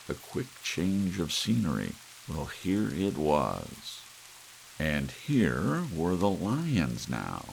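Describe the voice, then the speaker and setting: Very deep, gravelly male ASMR narrator. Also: poor audio. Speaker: audiobook narrator. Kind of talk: ASMR scripted story narration. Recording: faint hiss and soft rain. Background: soft rain.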